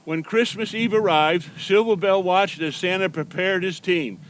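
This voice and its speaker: A man reads a children's storybook aloud in the role of Santa Claus. His voice sits high for a man, and he delivers the tale as a read-aloud for small listeners. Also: a little slow, slight background noise, poor audio.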